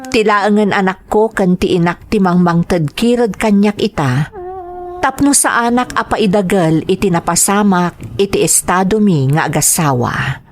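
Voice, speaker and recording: A woman playing a betrayed wife who recounts her life in a plaintive, measured way, in dramatized storytelling style. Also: mid-range voice, a little hiss or hum, natural voice. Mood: sorrowful. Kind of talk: first-person dramatized storytelling.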